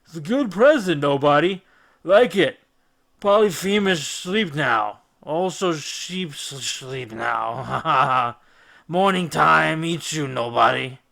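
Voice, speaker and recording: A man's voice: on the high side, animated, takes it slowly, gravelly, sharp tone, full character voice. A man voicing a childish, greedy, brutish giant cyclops in an audiobook, speaking in simple, blustering, demanding phrases. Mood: drunk, gloating. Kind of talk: audiobook character acting.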